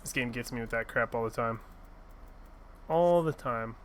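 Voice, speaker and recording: A man's voice: medium pitch, moderate pace, a bit bright, a little hiss or hum. A man streaming a fantasy game, chatting casually, joking, swearing and reading quest lines aloud. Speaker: gaming streamer. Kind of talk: casual gameplay commentary.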